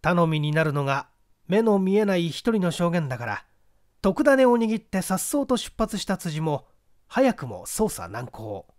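Male narrator for mystery audiobook, higher-pitched voice, animated intonation. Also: moderate pace. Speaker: audiobook reader, stage actor. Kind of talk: scripted literary narration of a mystery story.

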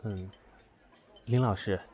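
A man's voice: on the low side, steady tone, slow, darkish tone, some chatter behind. A man playing a guarded, cold young man in an amateur audio drama, speaking in reserved, hesitant, clipped replies.

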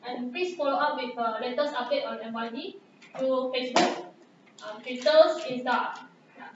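Young woman, high voice, hesitant announcer, dull lo-fi sound. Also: moderate pace, noisy background. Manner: hesitant, many fillers. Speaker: event organizer. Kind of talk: closing announcements. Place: classroom with projector. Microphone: handheld microphone. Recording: echoey room from far off.

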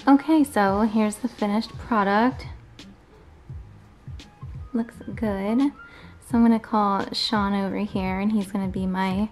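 A woman's voice: mid-range voice, steady tone, slow pace, pauses often. Woman, thirties, chatty, laughs a lot, home kitchen, phone camera, faint music behind. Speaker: home cooking vlogger. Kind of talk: casual chat while cooking.

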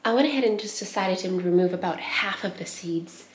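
A woman's voice: on the low side, a little fast. Chatty young woman in echoey kitchen. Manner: chatty, laughs easily, coughs at pepper fumes. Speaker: homestead cooking vlogger. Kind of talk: casual cooking demonstration. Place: home kitchen, indoors. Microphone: wide camera on the counter. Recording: echoey kitchen with background noise.

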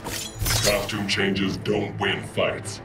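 A man's voice: sits low, completely flat delivery, very slow. A man voicing a cold, threatening armored supervillain in a video game. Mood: cold threat. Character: cold, menacing armored supervillain in a diving helmet. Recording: loud music behind the voice.

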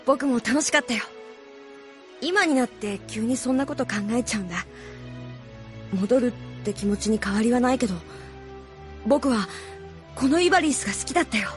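A woman voicing a gentle, sincere young boy hero in a Japanese audio drama, soft-hearted and earnest. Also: a little high, lively intonation, normal speed, long pauses, soft background music, close to an everyday voice. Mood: warm, sincere.

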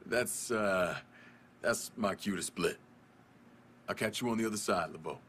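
Deep-voiced, terse tough vigilante. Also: male voice, measured pace, fairly bright tone, slight background noise. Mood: relaxed. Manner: terse, dry, determined. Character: tough, laconic vigilante war veteran man. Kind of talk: video game cutscene acting.

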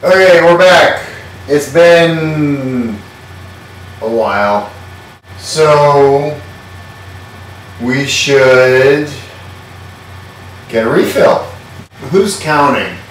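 A man's voice: a little high, extremely slow, long pauses, distant mic, a little hiss or hum. Sardonic man in an echoey kitchen. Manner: sardonic, irreverent, swears casually. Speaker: host. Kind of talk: comedic home cooking show. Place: home kitchen.

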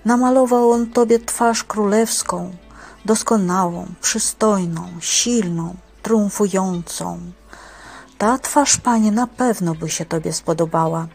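A woman's voice: medium pitch, slow, a little mellow. A woman playing an earnest, devout believer in a religious drama, speaking in a respectful, declamatory way. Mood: pleading, admiring. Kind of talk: dramatic religious stage-play acting.

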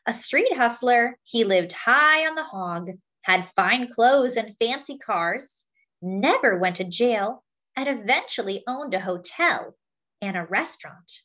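High-pitched, lively woman reading a picture book. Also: a little slow, muffled recording. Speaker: story-time reader, teacher to children. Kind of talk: read-aloud of a children's picture book.

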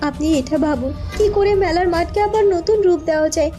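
A woman voicing a calm, clever young princess in a cartoon, speaking with quiet resolve. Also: very high-pitched, fairly quick, faint music behind.

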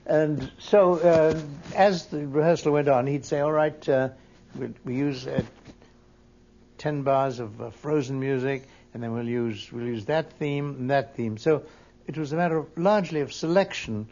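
A man recalling past work in conversation, pausing and halting mid-sentence. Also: medium pitch, mellow. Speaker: interviewee recalling colleagues. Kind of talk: conversational reminiscence. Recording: dull, muffled interview recording.

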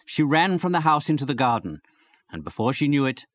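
Mid-pitched man presenting on radio. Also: sing-song, fairly quick, fairly bright tone, dry recording. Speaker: presenter and narrator. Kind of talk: scripted radio narration.

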